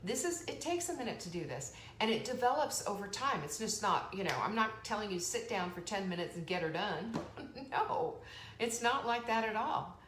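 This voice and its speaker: A woman hosting a live online motivational show, chatty and energetic, laughing often and reading out viewer comments. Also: medium pitch, normal speed. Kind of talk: motivational live-stream talk. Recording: echoey room with faint background noise.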